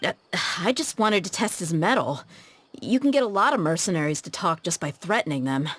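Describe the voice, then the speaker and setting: A woman's voice: expressive, fairly quick, noisy background, natural voice. A woman playing a tough, blunt female mercenary in a video game cutscene, talking things through aloud. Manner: blunt, low-key, thinking aloud.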